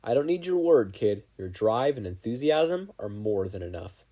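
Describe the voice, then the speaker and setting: A kindly hero teacher, acted by a man in an audiobook. He is frank and folksy, with a husky voice that moves up and down in an encouraging way. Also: on the low side, sing-song, moderate pace, dark tone. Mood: warm, encouraging. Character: gruff, kindly male hero teacher and engineer. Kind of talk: audiobook character voice.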